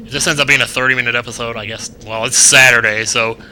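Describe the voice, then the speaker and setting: A man's voice: medium pitch, steady tone, quick pace, bright, forceful tone, a little hiss or hum, low-quality recording. A young American man giving casual, rambling let's play commentary with wry asides. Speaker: gaming video host. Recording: game music behind the voice. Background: video game music.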